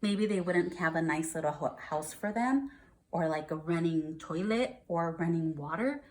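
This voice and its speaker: A woman's voice: lowish voice, animated, normal speed. An American woman, a first grade teacher, reads aloud to young children and explains things simply, checking in with questions.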